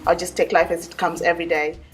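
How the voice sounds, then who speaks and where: A woman from Zimbabwe giving a calm, measured personal baptism testimony. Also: fairly low pitch, far from the mic, faint music behind.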